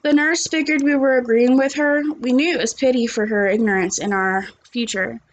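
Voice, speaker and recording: A woman's voice: on the high side, fairly even intonation, normal speed, dull audio, a little hiss or hum. A young adult woman, a teacher, reading a story aloud and chatting to children, recorded at home on a computer's microphone. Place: small living room at home, indoors.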